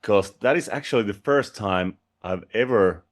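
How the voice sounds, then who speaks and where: A man's voice: on the low side, moderate pace. A man whose first language is Finnish, a musician guest speaking English with a Finnish accent in a casual, friendly interview with restarts and light laughs. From Finland.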